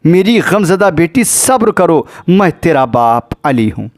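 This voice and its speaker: A man's voice: a little high, big pitch swings, dry recording, clean studio sound. A man voicing the spirit of a holy father in a religious tale, tender, solemn and consoling. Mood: tender sorrow.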